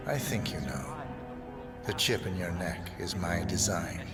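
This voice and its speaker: Low-voiced, guarded tech executive. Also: male voice, sing-song, measured pace, loud music behind the voice. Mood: sly, confident. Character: wary, self-serving male tech company chief designer. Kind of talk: video game cutscene acting.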